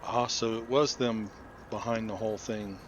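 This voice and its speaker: A man's voice: lowish voice, steady tone, normal speed, dull audio, slight background noise. A man giving casual, understated commentary as he hosts a game playthrough. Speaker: gaming video host. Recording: loud music behind the voice. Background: loud game music.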